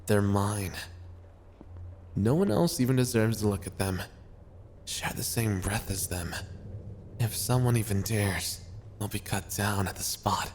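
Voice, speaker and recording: A man's voice: on the low side, unhurried, pauses often, darkish tone, a little hiss or hum, close to an everyday voice. A man voicing a big, brutish, possessive prince of hell in an ASMR roleplay, with a blunt, brooding, threatening manner.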